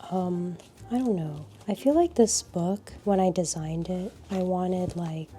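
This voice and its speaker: A woman with a slightly scratchy voice from a cold, chatting casually and unhurriedly in a low-energy voice-over about her craft supplies. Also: mellow. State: a little sick, scratchy voice. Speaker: art vlogger.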